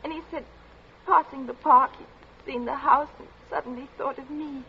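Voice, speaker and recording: A high, tearful young female voice, frightened and halting in the manner of 1940s radio drama. She stammers like a cornered daughter before her father, on a muffled, noisy old broadcast recording. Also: fairly even intonation. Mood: hesitant, fearful.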